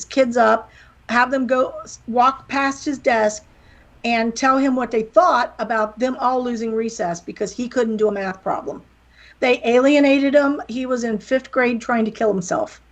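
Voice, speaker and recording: Middle-aged woman, slight Southern drawl, a bit high, gravelly edge; candid, rambling podcast guest. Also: fairly even intonation, moderate pace. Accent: slight Southern American. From West Virginia, USA. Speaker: guest, wrestling promoter and author. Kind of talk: casual podcast interview.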